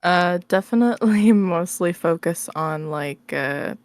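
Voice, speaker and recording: A woman with a slightly low voice answers questions in a casual interview. She is giggly and informal, feeling her way through sentences. The recording is dry and close. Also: animated, a little slow, no echo. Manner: giggly, informal, hesitant phrasing with many likes. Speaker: interview guest, ASMR roleplay creator.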